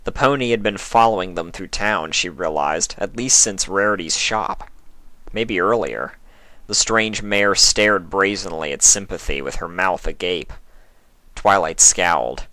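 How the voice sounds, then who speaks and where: Male story narrator: lowish pitch, dry close recording, scripted prose reading. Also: measured pace, bright, forceful tone, dry recording. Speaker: fan fiction reader.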